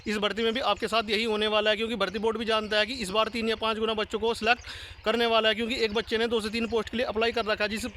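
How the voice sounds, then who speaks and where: A man's voice: high-pitched, flat delivery, very fast, bright, forceful tone, slight background noise. A young man giving insistent, repetitive advice straight to his viewers as a channel host. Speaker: YouTube exam-prep channel host. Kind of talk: direct-to-viewer advice monologue.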